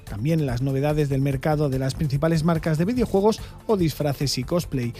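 A man reporting a news feature as a smooth, scripted voice-over. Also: on the high side, moderate pace, warm tone, faint music behind.

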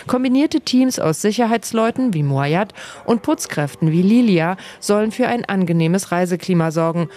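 A woman's voice: mid-range voice, lively intonation, normal speed, warm tone. Woman reading a radio news report. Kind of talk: scripted news report narration.

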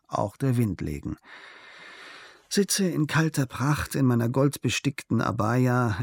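A man calmly reading a travel diary aloud as scripted narration, measured and unhurried. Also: mid-range voice, gravelly, dry recording. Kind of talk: calm scripted literary narration.

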